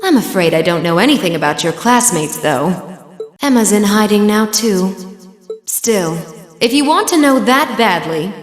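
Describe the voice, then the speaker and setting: A woman's voice: medium pitch, lively intonation, normal speed, close to an everyday voice. A woman voicing an elegant, coy villainess sorceress in a video game, sultry and condescending as she teases. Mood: playful, evasive. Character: elegant, teasing villainess sorceress speaking through her bird familiar. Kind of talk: English video game voice acting. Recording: roomy long reverb, music underneath. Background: music.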